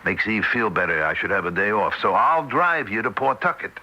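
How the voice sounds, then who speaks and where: An actor playing a plain-spoken, weary husband in a radio drama, blunt and conversational. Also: male voice, on the low side, sing-song, fairly quick, pushed and bright, dull audio, exaggerated voice. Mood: practical, patient. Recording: old radio recording, faint noise.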